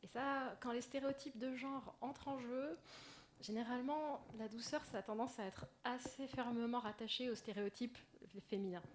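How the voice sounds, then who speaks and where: A woman with a soft, gentle voice, speaking to an audience in a personal, lightly self-deprecating conference talk. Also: a little high, steady tone, moderate pace. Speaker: conference speaker, agile coach. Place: indoor conference room. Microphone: stage microphone. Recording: noisy live microphone in a talk room.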